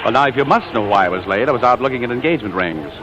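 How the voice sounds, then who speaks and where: An actor playing a proud, sarcastic stage performer who is quick to dodge and snap back, in 1940s radio drama style. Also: male voice, mid-range voice, lively intonation, heavy background noise, lightly acted. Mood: sheepish, soothing. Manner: sarcastic, wisecracking. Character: proud, evasive male stage performer, sour and fast-talking. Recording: dull old radio recording, music behind.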